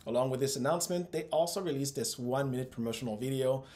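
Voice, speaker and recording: A man's voice: medium pitch, fast talker, a little mellow. A man in his thirties hosting investing videos, delivering scripted commentary with upbeat, emphatic phrasing. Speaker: host of an investing channel. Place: small room at home, indoors.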